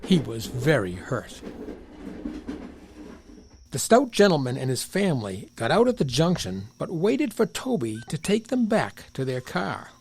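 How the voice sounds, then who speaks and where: A man's voice: on the high side, wildly swooping pitch, unhurried, gravelly, darkish tone, slight background noise. A man reading a scripted children's story as the narrator.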